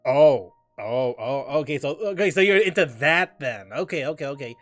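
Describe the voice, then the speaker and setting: A woman gives casual gameplay commentary with big swings in pitch. She is reactive and swears freely, on a dry close recording with game music behind her. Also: expressive, normal speed, no room sound. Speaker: gaming streamer.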